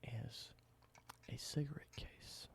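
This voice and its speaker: A man doing a whispered ASMR roleplay, explaining things slowly and correcting himself now and then. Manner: soft whisper, slow explanations with small self-corrections. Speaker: ASMR creator.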